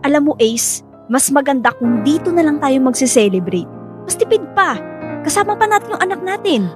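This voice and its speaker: A woman's voice: high-pitched, expressive, brisk. A woman acting a protective, stubborn young wife and mother with a Filipino accent in a radio drama. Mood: defensive, annoyed. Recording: studio drama over background music.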